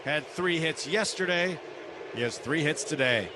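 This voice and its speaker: A man's voice: on the high side, expressive, a little slow. A man doing live baseball play-by-play on the radio in short, clipped phrases. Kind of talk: live radio sports commentary. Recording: noisy live ballpark radio broadcast. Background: outdoor stadium ambience.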